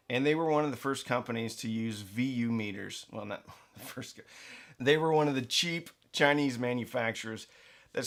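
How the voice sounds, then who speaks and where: A man's voice: medium pitch, fairly bright tone. Male tech review host, mid-range voice, conversational and playful, stressing key words as he explains. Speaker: reviewer and host of a budget audio gear channel. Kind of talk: casual product review talk to camera.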